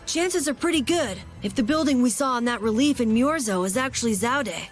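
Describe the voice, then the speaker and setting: A woman voicing an eager, earnest young boy adventurer in a video game, with an excitable manner. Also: on the high side, fairly quick, faint music behind, close to an everyday voice. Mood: curious. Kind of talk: English video game voice acting.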